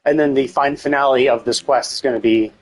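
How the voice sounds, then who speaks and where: A man's voice: medium pitch, steady tone, a little fast, low-quality recording. A man thinking aloud in a rambling, casual way as he streams a video game. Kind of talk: casual livestream gameplay chat. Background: music.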